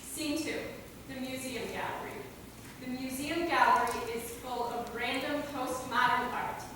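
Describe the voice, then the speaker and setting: A woman's voice: high voice, a little slow. A woman narrator reading scripted stage directions at a staged reading. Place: theater stage, recorded from a distance. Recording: very echoey hall, far mic, noisy.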